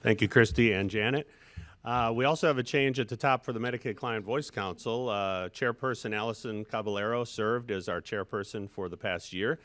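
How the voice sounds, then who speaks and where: A man runs the council meeting and walks the members through the procedure in an orderly way. His voice is low and steady. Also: moderate pace, a little hiss or hum. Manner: organized, steers the agenda. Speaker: meeting facilitator.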